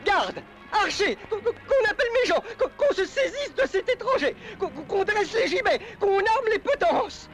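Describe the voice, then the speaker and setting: A high-voiced, pompous little king, whose pitch lurches wildly up and down. He stutters and blusters in the style of an old cartoon, over background music. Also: big pitch swings, moderate pace, hardly any pauses. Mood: flustered, blustering. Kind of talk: 1930s animated film dub. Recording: old film soundtrack with music behind.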